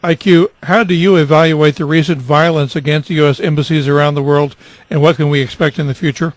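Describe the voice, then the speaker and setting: Man, radio interviewer, measured questions, slightly high voice, dry sound. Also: fairly even intonation, no room sound.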